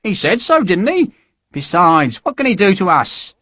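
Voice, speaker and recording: A man voicing a small, sharp-featured young man who talks in an eager, coaxing way. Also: high-pitched, sing-song, normal speed, no room sound, studio quality, pitched above the performer's own voice, full character voice.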